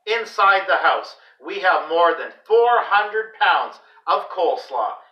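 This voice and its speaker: A man voicing a loud, blustering, excitable dad in a children's story, with emphatic delivery. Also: sits high, slow pace, lo-fi sound, full character voice. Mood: jovial announcing. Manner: shouting, emphatic. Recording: roomy echo, distant microphone.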